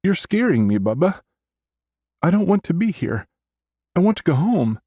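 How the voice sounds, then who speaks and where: A man voicing a frightened, trusting seven-year-old girl with a tiny, high voice in an audiobook. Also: sing-song, moderate pace, dark tone, husky, no echo, studio quality, heavily acted. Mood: pleading.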